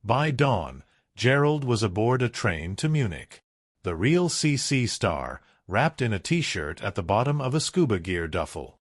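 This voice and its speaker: A man's voice: lowish voice, big pitch swings, a little slow, dry recording, studio quality. A man narrating a scripted true-crime documentary, telling it dramatically in short, punchy phrases.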